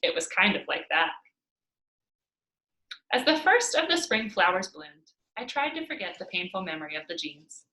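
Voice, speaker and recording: Female read-aloud narrator for children's fiction; very lively intonation, roomy home sound. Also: medium pitch, moderate pace. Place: indoors at home by a fireplace.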